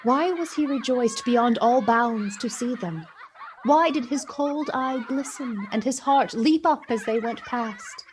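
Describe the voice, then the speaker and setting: A woman playing the storyteller of a Victorian ghost tale, with measured, literary, expressive delivery in a radio play. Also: a little high, slight background noise, lightly acted. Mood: bright, delighted. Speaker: narrator.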